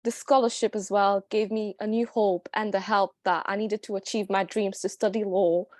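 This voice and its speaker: A woman giving a sincere, slightly halting personal speech to an audience. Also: medium pitch, steady tone, darkish tone, dry recording, studio quality. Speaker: student speaker.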